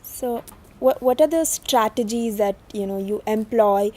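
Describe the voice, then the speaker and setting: A woman's voice: on the high side, unhurried, darkish tone. A woman interviewing a student, asking questions and often echoing his words back in short replies. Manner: frequent short echoing backchannels.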